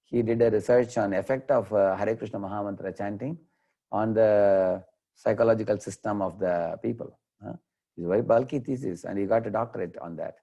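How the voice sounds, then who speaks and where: A man with an Indian accent, a devotional lecturer answering questions in an online class, explaining patiently with frequent pauses over a video call. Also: fairly low pitch, steady tone, dark tone, dull audio. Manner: unhurried, explanatory, many "uh" pauses.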